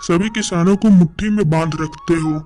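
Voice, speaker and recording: A man plays a landlord's flattering informer in a village cartoon. The voice is high for a man and eager to please, over soft music. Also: normal speed, lightly acted. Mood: fawning. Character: fawning male informer to a rich landlord. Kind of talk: animated moral story dialogue in Hindi.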